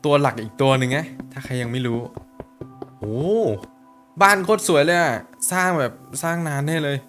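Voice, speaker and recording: A young man reacting to a video in a loose, chatty way, full of quick exclamations and slang. His pitch sits in an ordinary middle range, with soft music faintly behind him. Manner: excitable, exclaims often, slangy. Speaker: YouTuber reacting to an animation. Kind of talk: casual reaction commentary.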